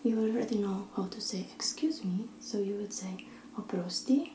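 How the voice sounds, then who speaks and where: A woman teaching simple phrases in a soft, often whispered, encouraging way while seated at a table indoors. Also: medium pitch, normal speed, echoey room, background noise. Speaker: language teacher.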